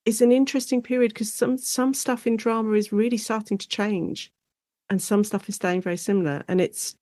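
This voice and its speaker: A woman guest academic explaining her subject in a conversational interview, chatty and animated, thinking aloud as she goes. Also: medium pitch, a little fast, no echo, clean studio sound.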